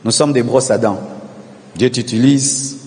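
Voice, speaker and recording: A male pastor preaching a sermon, calling on the congregation to repeat after him and swelling into shouted refrains. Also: medium pitch, measured pace, long reverb tail. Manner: preaching with call-and-response, rising to shouted repetitions.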